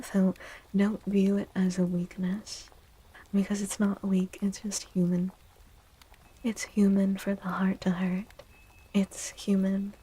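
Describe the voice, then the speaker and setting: Woman, soft-spoken ASMR, breathy and whispery, very slow, soothing, deliberate pauses. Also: medium pitch, low-quality recording. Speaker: ASMR tarot reader.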